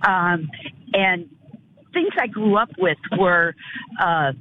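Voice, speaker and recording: An older woman from north of Chicago calling into a radio show, talking in a halting way and repeating words. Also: on the low side. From northern Illinois. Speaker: caller. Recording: phone line with faint noise.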